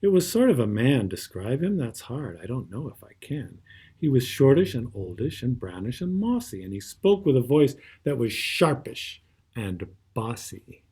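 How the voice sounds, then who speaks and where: A man's voice: mid-range voice, very animated, normal speed, warm tone, slightly rough. A man reading a rhyming children's story aloud with theatrical, rhythmic phrasing and brief asides. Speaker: storybook reader.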